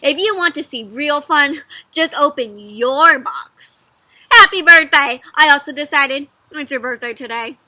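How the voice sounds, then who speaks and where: A woman's voice: sits high, normal speed, sharp tone, dry recording. A woman voicing a small, silly, eager male dog from a picture book, in a chummy, emphatic way. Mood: excited. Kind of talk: children's picture book read-aloud.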